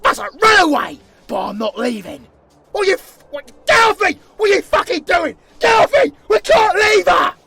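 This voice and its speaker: A man's voice: extremely high pitch, sing-song, normal speed, gravelly, noisy background, heavily acted. A man playing a foul-mouthed, fiercely loyal demon warrior in an audio drama, frantic and defiant. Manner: frantic, profane shouting.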